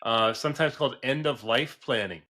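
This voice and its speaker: A man's voice: medium pitch, normal speed, sharp tone. A man of forty hosting a business advice podcast in a conversational, earnest and emphatic way, often checking in with "right?". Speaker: podcast host, business advisor.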